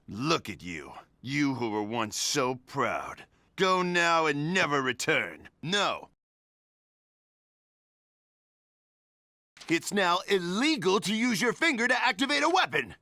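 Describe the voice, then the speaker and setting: A man's voice: high-pitched, sharp tone, no room sound, exaggerated voice. A man voicing a cocky, dim-witted cartoon echidna drunk on power, speaking in pompous, emphatic declarations. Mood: grandly dismissive, then bossy, escalating. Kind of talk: cartoon comedy acting.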